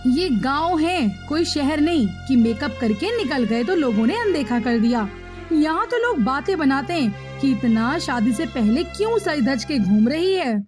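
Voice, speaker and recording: A woman voicing a scolding, anxious village mother in an animated moral story. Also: sits high, unhurried, over music. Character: scolding, anxious village mother fretting over daughter's marriage.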